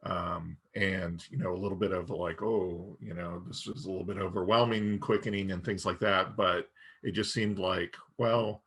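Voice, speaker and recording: A man's voice: low voice, fairly even intonation, normal speed, fairly bright tone, slightly rough. A man co-hosting a podcast, giving his opinions casually and thinking aloud in a hesitant, conversational way. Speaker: podcast co-host. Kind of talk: casual review discussion.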